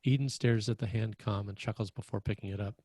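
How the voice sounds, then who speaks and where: A man's voice: fairly low pitch, steady tone, quick pace, dark tone. A man reading screenplay stage directions as narrator, in a plain, descriptive manner.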